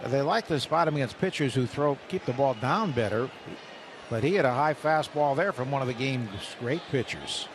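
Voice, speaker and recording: A man calling baseball play-by-play on radio, brisk and rising for big plays. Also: mid-range voice, noisy background. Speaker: play-by-play announcer.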